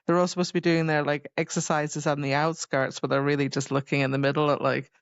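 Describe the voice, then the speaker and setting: A woman's voice: low voice, flat delivery, fast talker, no echo, muffled recording. A woman co-hosting a casual book podcast, chatting informally and loosely quoting characters. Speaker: podcast co-host.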